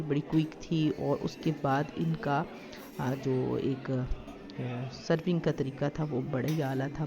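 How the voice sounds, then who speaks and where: Chatty woman narrating a family vlog. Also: low-pitched. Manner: chatty and homely, with frequent fillers. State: slightly sore throat. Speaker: family lifestyle vlogger. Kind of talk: casual vlog voice-over narration. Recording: slightly dull lo-fi recording.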